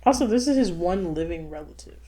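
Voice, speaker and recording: A woman's voice: on the low side, expressive, brisk, mellow. A woman co-hosting a casual movie discussion, talking in a conversational, explanatory way. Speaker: show co-host.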